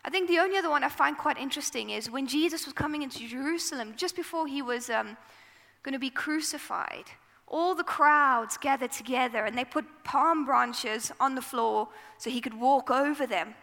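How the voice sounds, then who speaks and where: A woman with a South African accent preaching a sermon into a microphone, telling the story in a relaxed, conversational way. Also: sits high, normal speed, gravelly, big-room sound.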